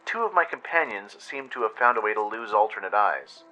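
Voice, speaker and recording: Male radio-play narrator, first-person storytelling, very sharp forceful tone over a music bed. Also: lowish voice, expressive, fairly quick, lo-fi sound.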